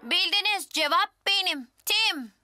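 A woman's voice: extremely high pitch, lively intonation, measured pace, pushed and bright, exaggerated voice. A woman playing an earnest, competitive little boy twin in a dubbed children's TV drama, with a childlike manner. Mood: cheerful presenting. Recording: music underneath.

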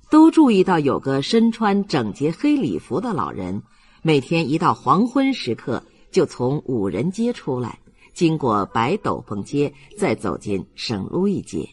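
Male narrator for a literary audiobook, husky tone, close dry sound, expressive scripted reading. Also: on the high side, no echo.

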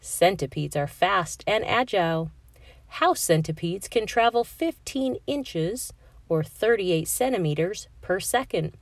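A woman storyteller narrating a picture book for young children. She takes her time, phrasing carefully with an animated, melodic delivery. The sound is close and dry. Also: mid-range voice, a little slow, no echo. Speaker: read-aloud storyteller.

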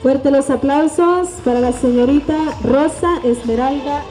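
High-voiced woman announcing. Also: a little slow. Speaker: event announcer. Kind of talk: festival announcing over PA. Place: outdoor evening town plaza. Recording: echoey PA with music behind. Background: loud music.

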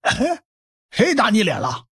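A middle aged magistrate for an audio drama, super swoopy high voice, raspy n blustery. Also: male voice, huge pitch swings, a little slow, bright, forceful tone, full character voice. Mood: startled. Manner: blustering, exclamatory. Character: middle-aged male county magistrate, excitable, scolding, ambitious. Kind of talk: Chinese audiobook drama acting.

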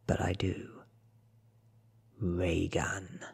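Hushed, breathy man reading in a low whisper. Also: completely flat delivery. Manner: slow, hushed, deliberate. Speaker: ASMR book reader. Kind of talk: soft-spoken whispered ASMR audiobook reading. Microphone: close microphone in front of him.

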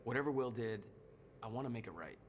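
A man's voice: mid-range voice, expressive, fast talker, slight background noise, close to an everyday voice. An actor playing a wry, sceptical everyman hero in casual cinematic video game dialogue. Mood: wry, guarded. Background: loud music.

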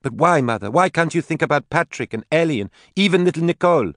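A man reading an audiobook voices an angry grown daughter who lashes out at her mother, raising his pitch well above his own. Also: animated, quick pace, no echo, clean studio sound. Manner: sharp, pleading, swearing outbursts. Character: furious grown daughter, young woman, bitter and tearful.

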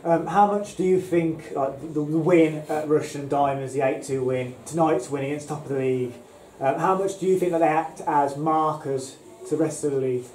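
Male club interviewer, asks post-match questions, warm tone, echoey room. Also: fairly high pitch, fairly even intonation, moderate pace. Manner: opens questions with um. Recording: echoey room with light hiss.

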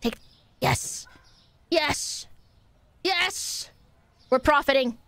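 A woman's voice: sits high, drawn-out words, a bit bright, no room sound. A young woman streaming a game, chatting casually with her viewers, playful and easily exasperated. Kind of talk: casual live-stream gameplay chat.

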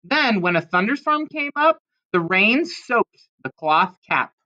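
A woman's voice: medium pitch, big pitch swings, measured pace, pushed and bright, lo-fi sound. A woman reading a children's storybook aloud expressively, with dramatic emphasis on key words. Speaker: storybook reader.

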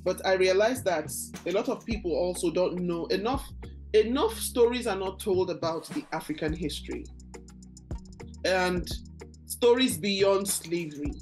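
Nigerian woman, podcast host, lower voice, enthusiastic, video-call sound with faint music. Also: lively intonation, a little slow, darkish tone. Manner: enthusiastic, repeats words while searching for phrasing. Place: room with bookshelves and red sofa.

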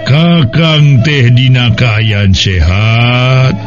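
A man voicing a calm, dignified, affectionate king in a folktale, with drawn-out, stately delivery. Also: medium pitch, muffled recording, music in the background. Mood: calm, indulgent. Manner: slow, drawn-out, stately speech.

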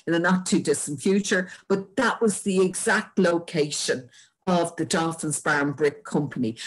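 An older Irish woman presents local history as an informal illustrated lecture. Her voice sits fairly low and has a husky grain. She speaks from home into a webcam on a video call. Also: low-quality recording. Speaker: local historian giving a talk. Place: indoors at home.

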